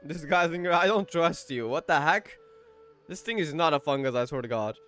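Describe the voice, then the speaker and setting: A man's voice: on the high side, expressive, moderate pace, fairly bright tone, soft background music. Chatty man reacting to a game. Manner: chatty, blurts suspicious quips and laughs. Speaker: gaming reaction host. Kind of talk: casual gameplay commentary.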